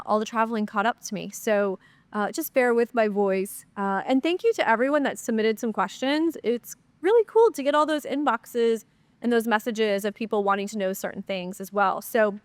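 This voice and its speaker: A woman podcast host chats away on her own show, her voice slightly high and stuffed up from a cold. She talks upbeat and casual, full of fillers. The close mic sounds dry, with no room around her. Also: clean tone, dry recording. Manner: upbeat, chatty, many fillers. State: congested, nasal from a cold. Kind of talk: solo podcast Q&A, casual chat.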